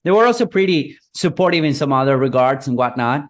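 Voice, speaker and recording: Argentine guy, 30s, relaxed storyteller, chatty with lots of fillers. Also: medium pitch, a little fast. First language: Spanish. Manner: relaxed, rambling with many fillers like "you know" and "whatnot". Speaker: guest, digital marketing consultant. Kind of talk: interview guest, storytelling.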